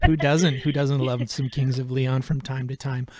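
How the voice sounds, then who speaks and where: A man's voice: medium pitch, level tone, moderate pace, darkish tone, no echo. A man hosting a radio interview, asking long, rambling questions full of fillers and hedges. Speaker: radio show host.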